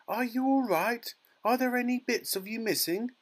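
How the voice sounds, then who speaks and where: A man's voice: very high-pitched, moderate pace, pitched above the performer's own voice. A man voicing a horrified, anxious mother who wails and cries out in alarm in a children's storybook. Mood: anxious, crying out. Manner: wailing, crying out in alarm.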